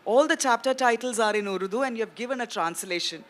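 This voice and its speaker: A woman moderating a literary festival panel, asking questions in measured, academic phrasing. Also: on the high side. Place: festival stage.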